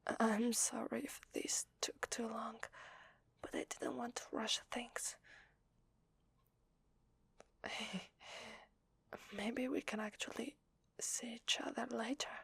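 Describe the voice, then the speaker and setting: A woman with an Italian accent voicing a shy, needy, grateful vampire lady in hesitant, breathy whispered ASMR roleplay, close to the microphone. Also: fairly high pitch, steady tone, dry recording, exaggerated voice. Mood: shy, friendly. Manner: hesitant, soft, halting. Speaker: ASMR voice actor. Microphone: close microphone, dry.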